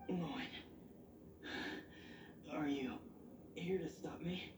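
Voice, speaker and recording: A bitter young game experimenter, speaks in a mid-pitched voice. The delivery is weary, halting and defensive, caught from afar with echo and noise. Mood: shaken, suspicious. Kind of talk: scripted video game acting. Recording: distant, echoey, noisy game playback.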